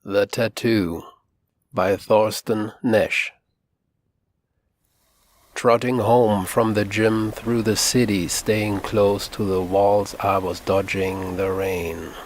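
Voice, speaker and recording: A man's voice: lowish voice, level tone, takes it slowly. A man reading a first-person short story as a scripted narration. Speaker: author reading own story. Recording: faint ambient background noise.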